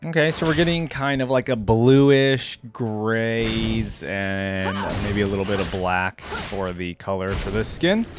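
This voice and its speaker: A man's voice: lowish voice, takes it slowly, few pauses, music in the background. A man giving casual reaction commentary on video game character skins, thinking aloud as he goes. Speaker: reaction channel host.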